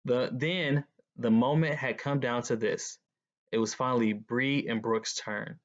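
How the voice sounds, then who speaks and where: A man reads a picture book aloud to children as a guest reader. His voice sits at a middle pitch, and the lo-fi recording sounds slightly dull. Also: fairly even intonation, a little slow.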